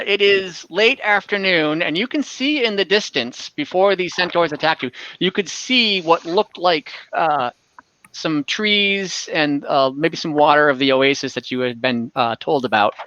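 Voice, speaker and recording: A man acting as dungeon master, improvising narration and rulings in a halting, conversational way and often repeating himself. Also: fairly high pitch, expressive, pushed and bright, smooth, dry recording, lo-fi sound. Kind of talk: improvised narration and rulings in an online tabletop game.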